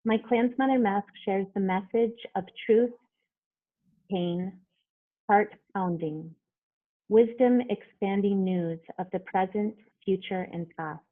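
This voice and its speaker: Woman reading on a tinny video call. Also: mid-range voice. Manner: recites poem, then halting explanation. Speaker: artist. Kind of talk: poetry reading then casual explanation. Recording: phone-like video call audio.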